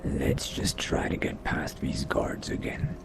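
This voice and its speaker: A man voicing a wary, hungry undead vampire in a game roleplay, muttering to himself in a breathy, gravelly whisper. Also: noisy background, lightly acted. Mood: wary, thirsty. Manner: slow, hushed, muttering to himself. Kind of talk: in-character video game roleplay narration.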